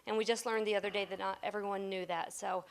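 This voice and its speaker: A woman's voice: medium pitch, fairly even intonation, quick pace, creaky. A woman staff trainer giving informal instructions to colleagues at a meeting.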